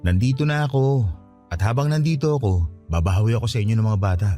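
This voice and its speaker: Low, mellow, affectionate husband, acted by a man. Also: fast talker, faint music behind, natural voice. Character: husband just home from working abroad, affectionate. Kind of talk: Tagalog radio drama acting.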